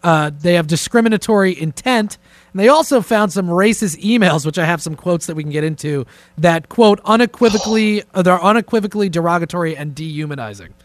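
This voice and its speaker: A man's voice: high-pitched, lively intonation, fairly quick, no echo. A man hosting a podcast in a casual, profane, joking style, steering the conversation. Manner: informal, joking, takes charge of the talk. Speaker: podcast host. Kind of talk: casual profane talk show chat. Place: indoors, remote conference call set up with camera.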